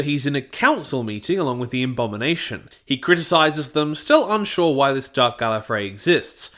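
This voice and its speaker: A man's voice: fairly high pitch, a bit bright. A man narrating a scripted review, with wry and opinionated asides. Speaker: audio drama reviewer.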